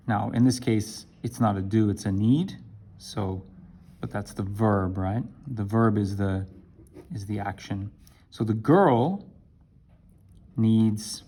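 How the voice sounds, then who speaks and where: A man teaching an English lesson to camera, talking slowly and deliberately and checking in with "right?". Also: on the low side, warm tone. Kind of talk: explaining a language lesson to camera.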